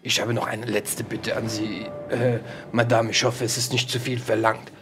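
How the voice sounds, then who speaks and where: A man's voice: on the low side, level tone, normal speed, a bit bright, soft background music, natural voice. A man playing a courteous, concerned investigator with a hesitant, formally polite way of talking in an audio drama. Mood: polite inquiring. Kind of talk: improvised pen-and-paper audio drama acting.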